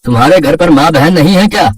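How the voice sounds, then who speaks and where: Worried middle-aged husband, acted by a man: earnest, animated, highish voice, storybook drama. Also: fast, crowd noise, lightly acted. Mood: angry.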